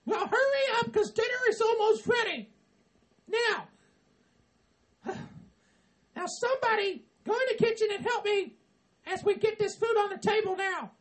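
A man's voice: very high voice, measured pace, pauses often, fairly bright tone, poor audio. A man voicing a bossy hillbilly woman who shrilly orders everyone around.

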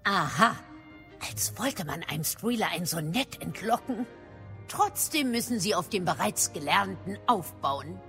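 An actress playing a shrewd middle-aged female professor in a German audio drama, speaking formally and crisply with a mildly probing manner. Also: medium pitch, expressive, unhurried, sharp tone, faint music behind. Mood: probing, dry.

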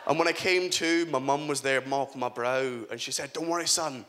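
Bright-voiced young comedian. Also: male voice, medium pitch, moderate pace. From Scotland. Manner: dry, self-deprecating punchlines. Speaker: stand-up comedian. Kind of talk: stand-up comedy set. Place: comedy stage before a live audience.

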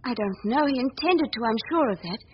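An actress playing an earnest, anxious young woman journalist in a 1940s radio drama, speaking gravely and dramatically. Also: on the high side, animated, a little fast, background noise, lightly acted. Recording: muffled old 1940s radio recording.

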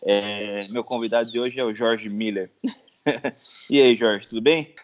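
A man's voice: fairly low pitch, steady tone, measured pace. A man hosting a podcast, enthusiastic, laughing often and tripping over his words. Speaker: podcast host. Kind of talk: casual interview chat. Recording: dull lo-fi sound with faint noise.

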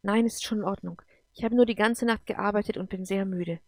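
A woman's voice: medium pitch, fairly even intonation, fairly quick, darkish tone, dry recording, close to an everyday voice. A woman voicing a wounded, exhausted male prisoner in an audiobook, tender and protective, pleading wearily. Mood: weary, reassuring.

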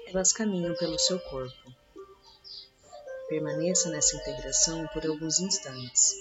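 A Brazilian woman narrating a scripted guided meditation as the guide, with slow, soothing, instructive phrasing. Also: sits low. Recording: dull lo-fi audio under loud music. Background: loud music with birdsong.

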